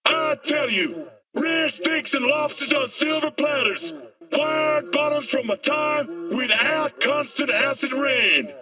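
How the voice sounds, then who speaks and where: An actor playing a fiery dystopian street preacher in a video game, ranting in an impassioned, declamatory way. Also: male voice, very high-pitched, expressive, takes it slowly, far from the mic, music in the background, full character voice. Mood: bitter, preaching. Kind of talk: dramatic video game monologue.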